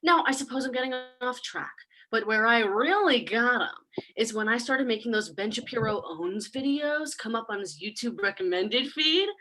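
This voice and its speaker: A woman plays a smug demon delivering a comic stage monologue. Her voice is fairly high and bright, with very lively swings in pitch. She is conversational, sarcastic and boastful, with casual swearing. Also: normal speed, poor audio. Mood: smugly amused. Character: smug, snarky female demon boasting of corrupting mortals.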